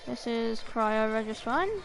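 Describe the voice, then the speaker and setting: A woman with a high-pitched voice streams a video game. She thinks aloud in short, casual muttered phrases. Soft music plays behind her. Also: animated, slow, vocal fry, rough recording. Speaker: livestreamer playing a video game.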